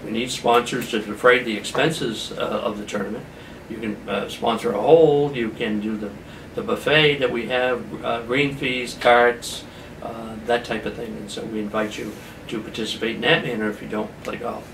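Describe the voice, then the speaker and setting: A male host of a local interview show, heard at some distance in an echoey room with a little noise. He speaks in an informal, halting way, often pausing mid-sentence. His voice sits at an ordinary middle pitch. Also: fairly even intonation, gravelly, fairly bright tone. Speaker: talk show host, chamber of commerce representative. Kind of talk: informal community interview programme. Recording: roomy echo, distant mic, background noise.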